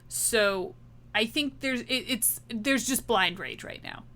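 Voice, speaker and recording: Bright high-voiced woman at the game table. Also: slow. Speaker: player in a tabletop roleplaying game. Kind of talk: conversational explaining of her character.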